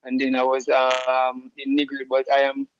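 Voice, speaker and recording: A Jamaican man answering interview questions in an easygoing way, with lots of fillers and restarts and patois shout-outs. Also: mid-range voice, completely flat delivery, normal speed, no room sound, dull audio. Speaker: musician guest.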